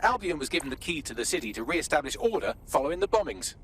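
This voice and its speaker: A man playing a wry, sardonic intelligence briefer in a video game cutscene, articulate and sharp. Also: on the high side, sing-song, fast, a little hiss or hum. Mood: dryly mocking.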